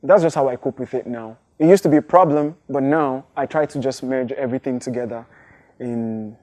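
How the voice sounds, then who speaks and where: A young man with a Nigerian accent whose first language is Igbo, answering interview questions in a thoughtful, unhurried way. His voice is mellow and warm, and it sits at a middling pitch for a man. He often pauses with fillers and restarts his sentences as he works through an idea. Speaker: hip-hop artist.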